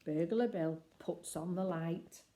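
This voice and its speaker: An older woman reading a picture book aloud as expressive children's story narration. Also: on the low side, takes it slowly.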